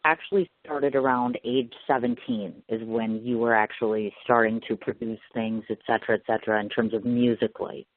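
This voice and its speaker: A man's voice: medium pitch, steady tone, fairly quick, few pauses, no echo. A man hosting an interview, chatty, building up his questions with long setups. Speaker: interview host. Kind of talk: radio phone interview. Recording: tinny dry phone line.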